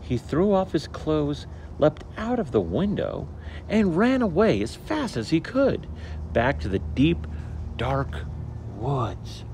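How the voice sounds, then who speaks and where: A man reading a picture book aloud to children in an animated, theatrical way with deliberate phrasing, as a storyteller. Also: a little high. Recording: steady background noise.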